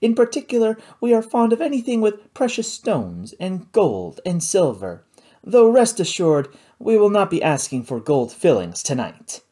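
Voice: mid-range voice, huge pitch swings, normal speed, warm tone, husky, pitched above the performer's own voice. A reader voicing a theatrical male pirate captain who speaks in a grand, mock-polite way. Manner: grand, mocking, showy delivery.

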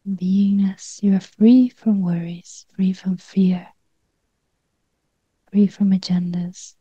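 A woman leading a guided meditation in a gentle near-whisper, with short phrases and long pauses. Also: mid-range voice, fairly even intonation, husky, no echo, highs cut off.

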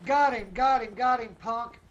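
High-voiced excitable man gaming, noisy. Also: moderate pace, highs cut off. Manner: excitable, repeats callouts. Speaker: gamer in a group livestream. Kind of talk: casual team gaming chat. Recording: game gunfire and noise behind voice. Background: video game gunfire.

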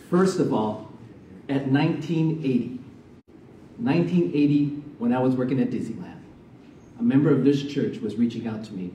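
Soft-spoken middle-aged guy preaching, really mellow dark voice, echoey church heard from a distance. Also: mid-range voice, slight background noise. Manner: soft-spoken, halting with many fillers. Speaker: missionary preacher. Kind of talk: church sermon and prayer. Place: church sanctuary, medium-sized. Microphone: stand mic from a distance. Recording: roomy church sound, mic far off.

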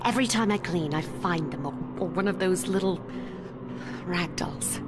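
An actress playing a devout, strong-willed housewife of the 1940s in a game, theatrical and earnest with folksy turns of phrase. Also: female voice, fairly low pitch, moderate pace, loud music behind the voice, lightly acted. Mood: exasperated. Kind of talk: dramatic game voice acting.

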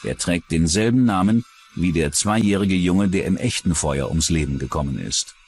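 A man's voice: low voice, animated, normal speed, a little mellow, a little hiss or hum. A man reading scripted true-crime documentary narration as the narrator.

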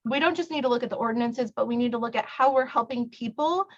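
A woman's voice: a little high, quick pace, lo-fi sound. A woman giving a conversational answer as a candidate at a public forum. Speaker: city council candidate.

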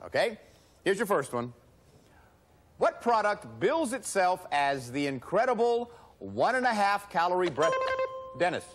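A middle-aged man hosting a studio game show, talking in a brisk, cheerful, emphatic way. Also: high-pitched. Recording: broadcast with loud music and studio audience.

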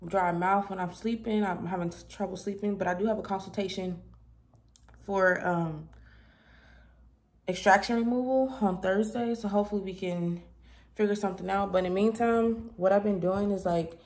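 A woman's voice: fairly even intonation, normal speed, darkish tone. A young woman with a stuffed-up nose, explaining at length to her viewers in her kitchen on a phone camera. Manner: low-key, rambling explanation. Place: apartment kitchen indoors. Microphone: phone camera held close.

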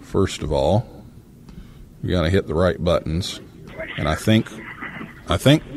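A man hosting a live radio talk show from a hotel, talking in a halting way with many fillers and restarts. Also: deep voice, a little mellow, a little hiss or hum. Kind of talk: live call-in show banter. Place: hotel room during a convention.

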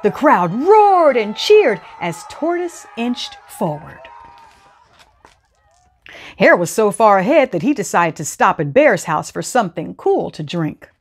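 A middle-aged woman hosting storytime, reading aloud to children in an expressive, deliberate, teacherly way. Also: mid-range voice, breathy edge. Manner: animated, deliberate teacherly delivery. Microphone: lapel mic.

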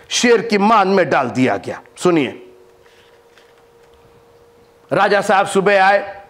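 High-pitched preacher guy in his 50s, studio lapel mic, goes loud then real quiet. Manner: animated, swings between loud emphatic lines and low hushed ones. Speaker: bishop and preacher. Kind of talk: Hindi sermon to camera. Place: studio with green-screen backdrop.

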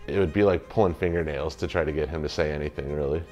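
A man's voice: rumbling low, quick pace, a little mellow, soft background music. A man, a former football player, casually recalling his playing days in an interview.

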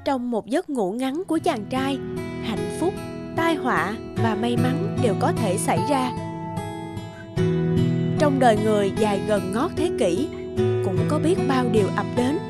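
High-pitched woman narrator. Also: animated, measured pace, long pauses. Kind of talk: scripted fairy-tale narration. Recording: guitar music under the voice.

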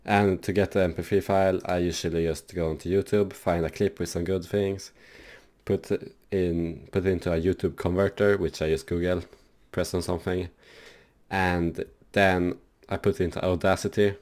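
A man hosting a casual, loose software tutorial for his gaming channel, rambling with lots of fillers. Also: low-pitched, level tone, normal speed.

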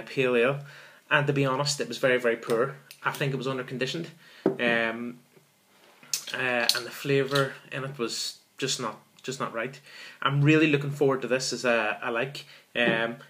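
A man's voice: medium pitch, bright, forceful tone. A man in his thirties hosting a casual beer review vlog, enthusiastic and repetitive, often calling viewers folks, filmed in a small room on a camera in front of him. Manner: enthusiastic, repeats words, calls viewers folks. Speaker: beer reviewer, channel host.

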